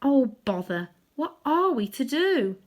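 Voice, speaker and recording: A woman reading aloud, voicing an earnest, soft-hearted young boy who protests in an exasperated way. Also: high-pitched, very animated, slow pace, voice pushed up, exaggerated voice. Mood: protesting.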